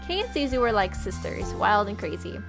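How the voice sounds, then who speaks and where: A woman's voice: medium pitch, big pitch swings, brisk, creaky. A woman reading a visual novel aloud as scripted narration, with casual asides. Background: faint music.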